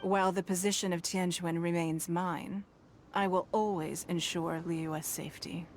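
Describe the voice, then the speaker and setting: A woman's voice: fairly low pitch, fairly even intonation, a little slow, slight background noise, natural voice. A woman playing a dignified, gracious noblewoman leader in a video game, composed, eloquent and formal. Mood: resolute. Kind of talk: scripted video game character acting. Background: music.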